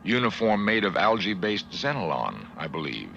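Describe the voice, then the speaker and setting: A cool logical alien officer. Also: male voice, deep voice, very animated, moderate pace, gravelly, bright, forceful tone, dull audio, a little hiss or hum, full character voice. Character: calm logical male alien science officer.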